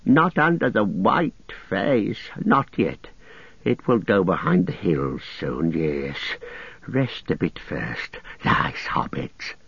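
A pitiful, half-mad creature whines and shrieks, leaping wildly between high and low. The voice is breathy and drawn out. It sits in a dull, dry recording. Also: male voice, sing-song, slow pace, fairly bright tone, dry recording, exaggerated voice. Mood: whining. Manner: whimpering, shrieking, hissing. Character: wretched creature, split-minded, whining and hissing.

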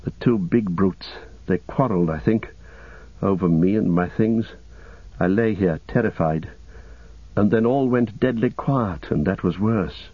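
A man's voice: fairly low pitch, measured pace, breathy edge, no room sound, narrow, tinny audio. A man voicing a wounded, despairing young hobbit in an audiobook, anguished and breathless, veering from weary to frantic. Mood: weary, shaken. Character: wounded, despairing, feverish young male ring-bearer hobbit.

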